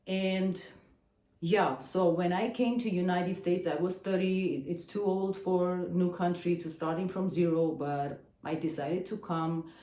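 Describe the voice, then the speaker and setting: A woman's voice: low-pitched, warm tone, echoey room. Hesitant Armenian-accented woman in her forties. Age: 40s. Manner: hesitant, searching for words, frequent fillers. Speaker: singer and channel host. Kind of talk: personal vlog monologue.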